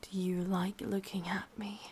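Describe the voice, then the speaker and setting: A woman with an English accent voicing an ancient, lonely, lovesick witch in a breathy, husky whisper for an ASMR roleplay. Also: mid-range voice, flat delivery, darkish tone, slight background noise, natural voice. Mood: seductive, probing. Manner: slow, brooding, intimate delivery.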